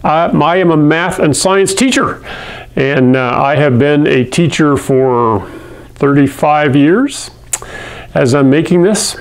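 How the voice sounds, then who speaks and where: A middle-aged man from Hawaii teaching chemistry to camera in a classroom, talking in a friendly, conversational way and often clearing his throat. He speaks into a lapel mic. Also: fairly high pitch, slow pace. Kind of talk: video lesson to camera. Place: classroom in front of a whiteboard.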